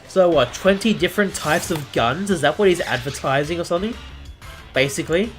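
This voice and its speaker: An Australian man hosting a live stream, chatting casually with viewers and often pausing to search for the right word. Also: on the high side, expressive, soft background music. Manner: chatty, searches for words, self-deprecating.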